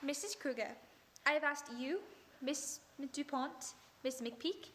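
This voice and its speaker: A woman playing a calm, authoritative female detective in a radio play, speaking in a measured way. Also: sits high, slight background noise. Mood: composed. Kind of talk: 1940s radio acting.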